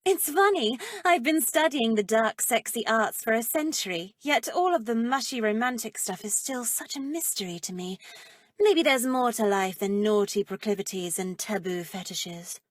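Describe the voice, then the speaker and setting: A woman's voice: on the high side, lively intonation, moderate pace, dry recording, natural voice. An actress voicing a flirtatious, menacing witch professor in a video game, with an arch, theatrical, haughty delivery. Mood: playful, flirtatious. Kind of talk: video game character voice acting.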